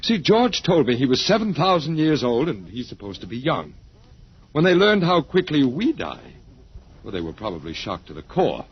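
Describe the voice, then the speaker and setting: A man's voice: mid-range voice, wildly swooping pitch, moderate pace, husky. A male actor playing a wry, resigned academic with a detached, lecturing manner in an old radio drama. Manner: wry, dry-humored, professorial, casually rambling. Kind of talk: 1950s radio drama acting. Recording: muffled, worn old radio recording.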